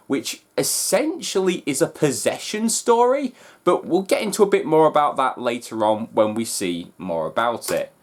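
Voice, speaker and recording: A man hosting a fan reaction video, talking casually with enthusiastic, emphatic delivery. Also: medium pitch. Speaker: host of a fan reaction channel. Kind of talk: excited trailer reaction and casual breakdown.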